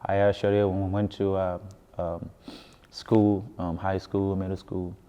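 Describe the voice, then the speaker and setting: A man's voice: low-pitched, level tone, measured pace, mellow, clean tone. A man from Ghana with a Ghanaian accent telling his story in a casual interview, often repeating words for emphasis. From West Africa, grew up in Ghana. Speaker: musician being interviewed. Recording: echoey hall, dull muffled sound.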